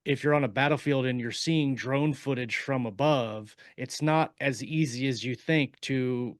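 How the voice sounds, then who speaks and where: A man on a podcast panel, explaining in casual talk with halting, deliberate phrasing. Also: mid-range voice, no echo, studio quality.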